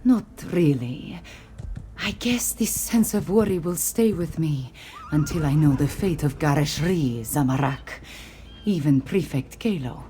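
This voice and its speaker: A woman voicing a weary, regal cat-folk warrior queen in a video game, speaking formally and earnestly in measured phrases. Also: deep voice, expressive, dark tone, slight background noise. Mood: earnest, solemn. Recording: game music behind the voice.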